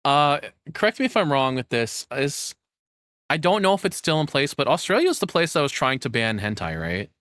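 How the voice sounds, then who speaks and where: A man's voice: a little high, sing-song, brisk, fairly bright tone, no room sound, studio quality. A man giving casual, off-the-cuff reaction commentary, rambling and joking with some exasperation and swearing. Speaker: reaction streamer.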